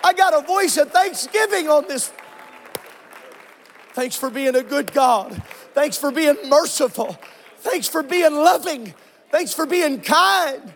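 A man with a very high voice preaches in a church, winded and fervent, his words running in chanted repeats that rise to shouts and sink to hushed murmurs. A congregation can be faintly heard behind him. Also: lively intonation, slow, pauses often, some chatter behind. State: out of breath. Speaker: pastor. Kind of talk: Pentecostal preaching and prayer.

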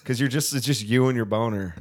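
A man's voice: mid-range voice, quick pace. A man from Southern California with an American accent, chatting casually and candidly as a podcast guest. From Southern California, United States.

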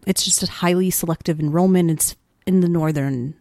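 A woman in her twenties from the Chicago suburbs, a teacher chatting on a podcast, talking quickly with lots of "like" and acting out what people said. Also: on the low side, dark tone, no room sound, clean studio sound. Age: 20s. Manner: chatty, fast, full of "like", acts out quoted voices. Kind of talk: casual podcast chat.

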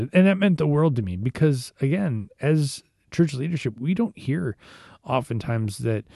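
A hoarse man, a church musician and host, giving an informal devotional talk in a conversational way with frequent restarts. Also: mid-range voice, dark tone, no echo, studio quality. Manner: conversational, repeats and restarts words.

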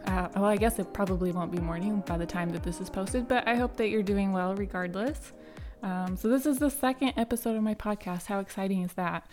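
Young adult woman, podcast host, slightly raspy throat, casual storytelling, filler-heavy chatter. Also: medium pitch, fairly quick, darkish tone, faint music behind. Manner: chatty, many fillers and restarts, light laughs.

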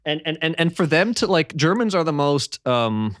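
A man's voice: a little high, big pitch swings, moderate pace, a bit bright, no room sound, clean studio sound. Rambling man hosting a podcast. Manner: rambling, repeats words while searching for them. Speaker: podcast host. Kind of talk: casual chat. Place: home in eastern Germany.

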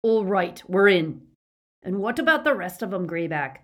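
A woman's voice: medium pitch, sing-song, normal speed, a bit bright. A woman playing a jumpy, awed bounty-hunter man. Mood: eager nervous.

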